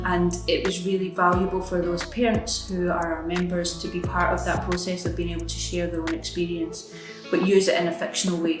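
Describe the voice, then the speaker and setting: Low, even-voiced woman in a roomy space. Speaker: community organisation staff member. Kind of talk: documentary interview. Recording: roomy, guitar music bed. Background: guitar music.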